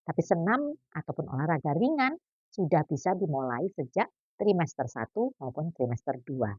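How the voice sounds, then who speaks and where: A woman's voice: sits low, moderate pace, breathy edge, dry recording. A woman in her fifties, a doctor, giving pregnancy exercise advice in a chatty, joking way. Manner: chatty, jokey, lively explaining with asides. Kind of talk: casual health talk. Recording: dull lo-fi.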